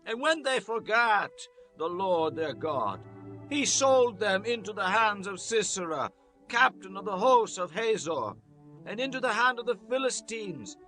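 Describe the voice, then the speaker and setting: A man acts out a stern old prophet in a dramatized scripture reading. The voice is high and forceful, declaiming solemnly and slowly to his people. Soft music plays underneath. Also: expressive, exaggerated voice. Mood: stern admonishing. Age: older. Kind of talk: dramatized King James Bible reading.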